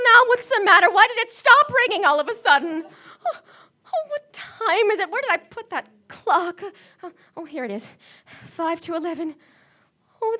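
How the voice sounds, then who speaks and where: An actress playing a frantic, self-pitying, demanding invalid wife in a melodramatic radio play, with a stammering, imperious way of talking. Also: female voice, very high voice, bright, forceful tone, exaggerated voice. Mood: anxious, fretful. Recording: live stage recording.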